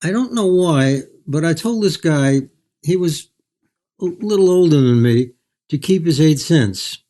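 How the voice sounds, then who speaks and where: An older man telling stories as an author in an interview, in a measured, anecdotal way. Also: on the high side, lively intonation, dark tone. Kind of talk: storytelling in an interview.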